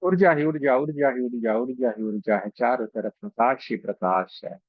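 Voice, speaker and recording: A man leading a guided meditation as a reiki guide, speaking slowly and soothingly with pauses and repeated phrases. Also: medium pitch, no echo, narrow, tinny audio. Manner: slow, soothing, repetitive instruction with frequent pauses.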